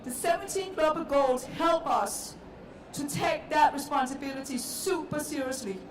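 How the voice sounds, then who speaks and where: Danish woman, high voice, echoey hall. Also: moderate pace, over music. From Denmark. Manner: earnest, repetitive, emphatic. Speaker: panelist. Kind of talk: panel discussion. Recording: echoey hall, distant mic, noisy.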